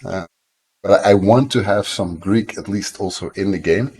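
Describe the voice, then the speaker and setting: A Dutch man with a deep, low voice talks casually into a desk mic at home. He rambles and runs himself down, fills gaps with ums and restarts, and coughs now and then. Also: normal speed, a little mellow, slightly rough. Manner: rambling, self-deprecating, frequent fillers and restarts. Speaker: game developer streamer. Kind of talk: casual live-stream chat.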